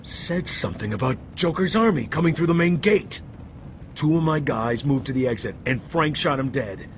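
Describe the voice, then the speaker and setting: A shaken male security guard voiced by an actor in a video game, mid-pitched and stammering as he recounts things. Faint noise sits under his voice. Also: lively intonation, normal speed, natural voice. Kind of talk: video game cutscene acting.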